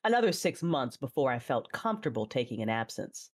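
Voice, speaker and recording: A woman playing a hardened, ruthless Sith mother in low-pitched first-person dramatic narration. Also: very animated, a little fast, husky, heavily acted. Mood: measured, brooding.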